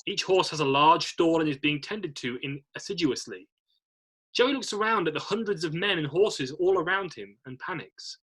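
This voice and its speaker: Bright, slightly husky male narrator. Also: fairly high pitch, animated, normal speed. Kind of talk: reading screenplay stage directions aloud.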